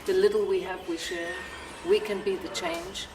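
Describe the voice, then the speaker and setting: A woman's voice: medium pitch, big pitch swings, moderate pace, distant mic. A woman with a South African accent, a singer-songwriter being interviewed, speaking earnestly and building to emphatic points. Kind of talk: interview answers. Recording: echoey, off-mic, loud music behind.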